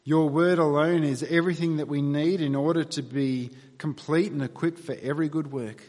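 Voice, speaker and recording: Australian pastor in a reverberant hall. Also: male voice, on the high side, fairly even intonation, moderate pace, darkish tone. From New South Wales, Australia. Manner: earnest, conversational, wry asides. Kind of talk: sermon with prayer. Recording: long reverb of a church hall.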